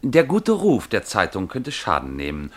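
An actor playing a stolid, fussy male newspaper clerk in a radio play, deliberate and matter-of-fact, obliging yet unyielding. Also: lowish voice, wildly swooping pitch. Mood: dismissive, explanatory. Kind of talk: German radio play acting.

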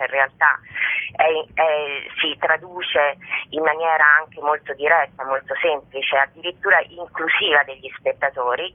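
A woman speaking as an artistic director in a radio interview, explaining in abstract, intellectual phrasing. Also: low-pitched. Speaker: festival artistic director and guest. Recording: narrow, tinny phone line.

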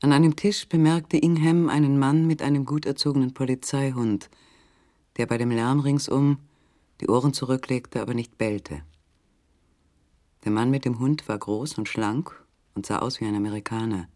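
An actor narrating a literary German radio play as a sober, measured third-person storyteller. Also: male voice, medium pitch, steady tone, dark tone, lightly acted.

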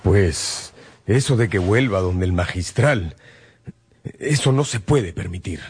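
An actor playing a suave, ardent gentleman seducer in a radio drama, speaking earnestly. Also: low-pitched, lively intonation, slow pace, husky, no room sound, full character voice. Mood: suave reassuring.

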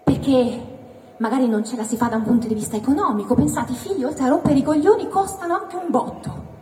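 A woman's voice: high-pitched, fast talker, mellow. A woman performing a provocative stand-up monologue in a mock-earnest, rhetorical manner. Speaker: stand-up comedian. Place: live indoor theatre venue. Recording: echoey hall, distant mic, faint noise.

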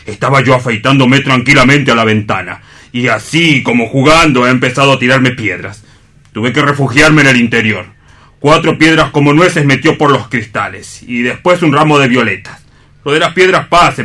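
An actor with an accent from Spain playing a fussy, prim, morbid clinic assistant in a radio play reading, formal and indignant. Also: male voice, mid-range voice, sharp tone.

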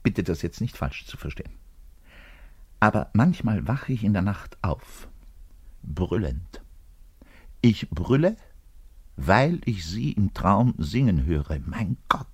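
An actor playing a lovelorn, self-important, bitter double bass player in a theatrical radio play monologue, confiding in the listener and swinging between hushed and declaimed delivery. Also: male voice, very animated, takes it slowly, long pauses, husky, exaggerated voice. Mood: agitated, scornful longing.

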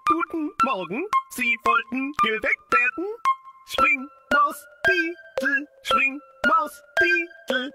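Squeaky, singsong talking alarm clock. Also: female voice, wildly swooping pitch, slow, over music, heavily acted. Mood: chirpy insistent. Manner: singsong, repeating each phrase. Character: tiny talking novelty alarm clock, chirpy and insistent. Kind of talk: children's audio play acting.

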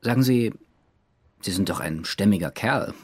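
An actor playing a businesslike, matter-of-fact police detective in a German radio drama, with a dry manner and husky voice. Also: male voice, fairly low pitch, animated, moderate pace.

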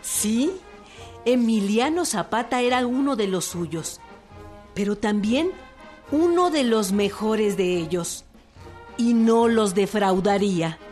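A woman's voice: mid-range voice, expressive, takes it slowly, darkish tone, faint music behind. A woman reading scripted narration for a historical radio drama.

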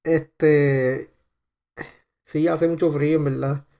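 A young man from Puerto Rico with a Puerto Rican accent, chatting casually on a podcast and filling pauses with "este". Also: on the high side, fairly even intonation, gravelly, dark tone. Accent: Puerto Rican Spanish. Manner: rambling, heavy on fillers. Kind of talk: casual chat.

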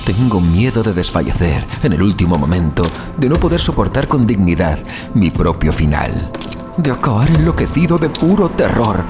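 An actor playing a terrified, fatalistic man in a horror audio drama, speaking in anguished sighs and dramatic pauses. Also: low-pitched, exaggerated voice. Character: terrified, fatalistic male car passenger awaiting his death. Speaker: narrator of audio fiction. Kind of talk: dramatised first-person horror monologue.

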